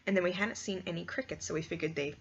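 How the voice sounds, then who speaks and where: Low-pitched woman, casual rambling vlog chat, expressive, frequent "like", dull lo-fi audio. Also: quick pace. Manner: expressive, squeamish, exclaims in disgust; heavy use of "like" as a filler. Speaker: video blogger. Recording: dull lo-fi sound with clear background noise.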